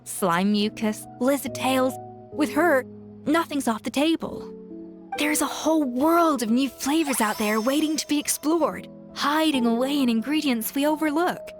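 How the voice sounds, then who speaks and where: Woman playing a confident, high-voiced young chef. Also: lightly acted. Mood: reflective, then passionate. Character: confident, exacting young female chef, passionate about cooking. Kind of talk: video game character dialogue.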